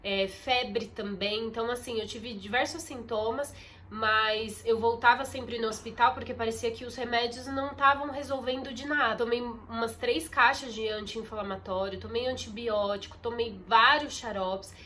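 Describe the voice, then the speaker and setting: A woman's voice: fairly high pitch, fairly even intonation, normal speed, sharp tone, a little hiss or hum. Congested, chatty young woman vlogger. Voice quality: muffled, nasal from congestion. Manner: chatty, confiding, addresses viewers as "gente". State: congested, stuffy nose. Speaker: content creator. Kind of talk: casual vlog talk to camera. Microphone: phone on a ring light.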